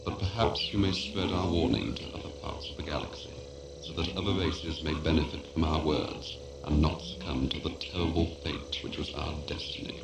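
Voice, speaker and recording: A man's voice: very deep voice, fairly even intonation, normal speed, creaky, reverberant, heavy background noise. A man playing a solemn, doom-laden herald of a lost planet, speaking in a stately, portentous way in a science fiction audio drama. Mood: grave. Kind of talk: audio drama acting, formal proclamation.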